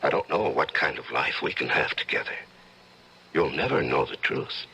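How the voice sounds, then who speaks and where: An actor playing a brooding, tormented writer and husband who may be a killer, in theatrical old-time radio drama style. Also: male voice, rumbling low, lively intonation, normal speed, vocal fry, sharp tone, slight background noise, exaggerated voice, poor audio. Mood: resigned, sorrowful.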